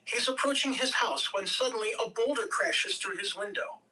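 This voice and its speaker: A woman reading stage directions as narrator at a table read, measured, with occasional stumbles over words. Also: on the high side, pushed and bright, recorded from a distance, poor audio.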